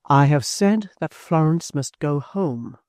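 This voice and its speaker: A man voicing a proud, bitter young woman in a storybook reading, speaking low and steady. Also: a little slow, no echo, studio quality. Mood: cold. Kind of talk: audiobook character voice.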